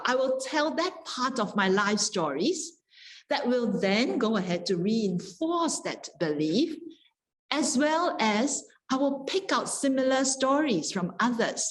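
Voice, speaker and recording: A woman speaking as a scholar on a panel, explaining ideas in a measured, articulate and deliberate way. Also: fairly high pitch.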